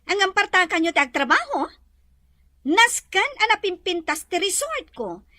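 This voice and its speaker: A woman playing a haughty, bossy, sharp-tongued resort owner in an Ilocano Filipino audio drama. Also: very high-pitched, big pitch swings, normal speed, no echo, studio quality, exaggerated voice.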